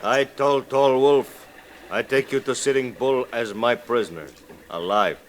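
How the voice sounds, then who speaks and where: A man playing a cold, treacherous frontier guide in an old-time radio western, speaking terse, deliberate broken English. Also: mid-range voice, a little hiss or hum, natural voice. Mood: menacing.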